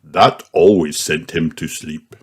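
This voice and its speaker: A man's voice: a bass voice, slow pace, over loud music. A man voicing a good-natured, put-upon daddy pig in an easygoing fatherly way for a children's storybook.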